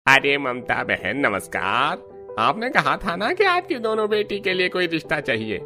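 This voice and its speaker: A man's voice: fairly high pitch, wildly swooping pitch, moderate pace, bright, forceful tone, soft background music, exaggerated voice. A man voicing a genial, obliging priest who arranges marriages in a Hindi cartoon story, ingratiating and emphatic. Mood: persuasive.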